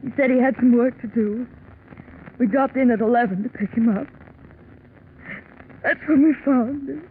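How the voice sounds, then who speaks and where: A woman's voice: fairly high pitch, moderate pace, lightly acted. An anxious woman voiced by an actress in an old radio mystery, a hesitant accomplice to her lover. She speaks in period 1940s style through a muffled recording with faint noise. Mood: composed testimony. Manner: worried, pleading.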